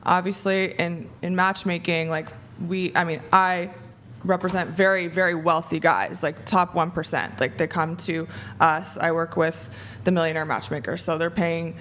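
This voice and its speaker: Chatty matchmaker woman, lowish voice. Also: steady tone, normal speed, fairly bright tone, slight background noise. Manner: chatty, lots of "like". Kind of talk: casual panel chat. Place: bright studio with a large panel. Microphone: desk mic on arm.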